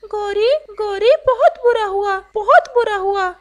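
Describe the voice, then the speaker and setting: A woman's voice: very high-pitched, measured pace, pitched above the performer's own voice. A woman voicing a nervous, panicky parrot in a cartoon, flustered and urgent, repeating words in alarm. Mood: panicked. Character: nervous, panicky parrot bringing bad news.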